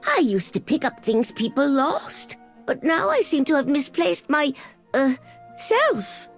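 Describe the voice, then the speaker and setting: High, whimsical, excitable game heroine. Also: female voice, measured pace, heavily acted. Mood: whimsical, dreamy. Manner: singsong, giggly, exclamatory. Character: whimsical, excitable female wandering portal-hopper collecting trinkets. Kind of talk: game character voice lines. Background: music.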